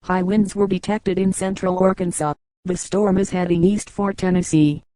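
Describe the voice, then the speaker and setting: A woman's voice: fairly low pitch, flat delivery, normal speed, dark tone, no echo, low-quality recording. A woman reading a scripted breaking news report as an announcer.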